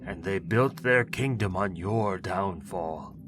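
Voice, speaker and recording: A man's voice: low voice, takes it slowly, noisy background. A man playing a pompous chained necromancer. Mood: scheming, persuasive. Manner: theatrical, pompous and wheedling. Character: ancient bound male necromancer, pompous and wheedling. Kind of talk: ASMR audio roleplay. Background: music.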